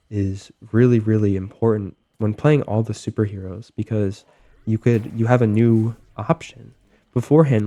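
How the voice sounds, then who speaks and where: Low, mellow young man with a cold. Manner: relaxed, many ums and likes. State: getting over a cold, voice a little off. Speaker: gaming video host. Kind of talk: casual gameplay commentary.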